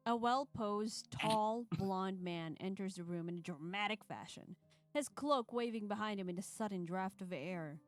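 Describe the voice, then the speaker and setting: A woman streamer reading a visual novel aloud, expressive, casual and blunt between passages. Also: medium pitch, moderate pace, faint music behind.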